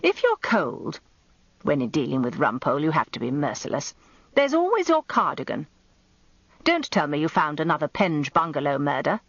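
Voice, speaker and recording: Withering older British wife, lively delivery. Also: female voice, low-pitched, moderate pace, dry recording, lo-fi sound, heavily acted. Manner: dry, withering, imperious. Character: Hilda, sharp-tongued, merciless older wife of a barrister. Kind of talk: radio drama first-person narration and dialogue.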